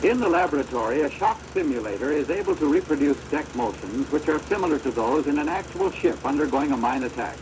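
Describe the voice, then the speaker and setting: An American man giving formal, measured instructional narration for a training film, on a deteriorated old projector soundtrack. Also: a little high, background noise.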